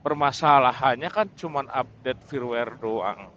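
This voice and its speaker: A man's voice: mid-range voice, a little slow, a bit bright. A man chatting casually and hesitantly, rambling and repeating himself as he vlogs. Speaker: motovlogger reviewing his camera. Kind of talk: casual unscripted vlog chat. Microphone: wireless mic through helmet intercom. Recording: muffled intercom mic with clear vehicle noise. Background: vehicle and road noise.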